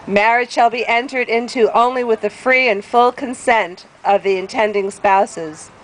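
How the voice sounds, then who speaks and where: A woman reading a formal document aloud at a public event in an outdoor plaza. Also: mid-range voice. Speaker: public reader at a rights event. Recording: noisy outdoor ambient recording.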